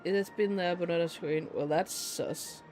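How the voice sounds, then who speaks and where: A man's voice: fairly high pitch, fairly even intonation, normal speed, darkish tone, rough recording. A man giving casual, rambling, incredulous commentary as he streams a game and banters with viewers. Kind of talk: casual live gameplay commentary. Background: faint game music.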